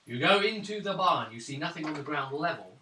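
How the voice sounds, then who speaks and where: A man running a tabletop role-playing game, narrating scenes in a measured, descriptive way. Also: fairly high pitch, animated, pushed and bright. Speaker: game master. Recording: roomy, distant, noisy table recording.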